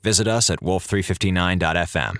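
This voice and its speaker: Deep-voiced man reading show credits. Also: a little fast, a bit bright, no echo, studio quality. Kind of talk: scripted narration.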